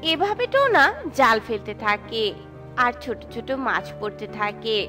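A woman's voice: a little high, sing-song, moderate pace, over music. A woman narrating a scripted children's story.

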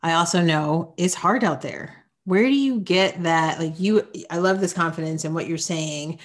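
A woman in her forties hosting a podcast interview, upbeat and encouraging, quick to praise her guests. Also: lowish voice. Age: 40s. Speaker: podcast host. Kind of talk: casual interview chat.

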